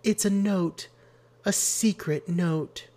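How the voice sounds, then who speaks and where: A gentle, earnest woman voiced by a man in an audiobook story. The pitch sits well above plain narration, and the delivery is soft and grave. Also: slow pace. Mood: soft, pained. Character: earnest, gentle, sad woman amateur detective. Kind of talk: audiobook character voice.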